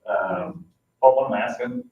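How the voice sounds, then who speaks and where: An American man, a council member speaking informally at a public meeting, halting as he searches for words. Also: mid-range voice. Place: indoor public meeting room. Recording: roomy, distant, muffled meeting-room mic.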